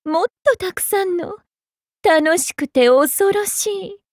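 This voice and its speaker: A woman's voice: extremely high pitch, takes it slowly, no room sound, clean studio sound. A woman voicing a sultry, serene, sinister temptress in a game, genteel and savouring her words. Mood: wistful menace. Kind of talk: Japanese game character voice lines.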